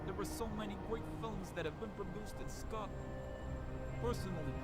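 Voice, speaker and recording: A man's voice: high voice, fairly quick, close to an everyday voice. A male AI voice playing a polite, cheerful city dweller in a game, speaking formally and helpfully. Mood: polite, informative. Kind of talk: scripted AI chatbot dialogue in a game. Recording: loud music and car noise behind. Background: music, game city traffic.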